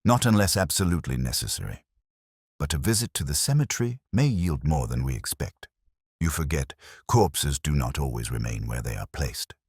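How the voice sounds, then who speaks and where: A man voicing a keen, coolly commanding Victorian detective in an audiobook, speaking precisely and deliberately. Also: extremely low pitch, animated, breathy edge, dry recording, studio quality, full character voice. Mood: decisive, intrigued. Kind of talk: audiobook character dialogue.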